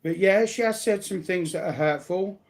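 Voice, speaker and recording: A man's voice: sits high, moderate pace. A blunt, sweary man chatting casually as a guest on a livestream.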